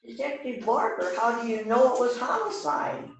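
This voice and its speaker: A woman's voice: a little high, animated, moderate pace, lo-fi sound. A woman playing an eager, agreeable junior police sidekick in an amateur readers theater rehearsal, with an earnest delivery. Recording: roomy, distant mic with faint noise.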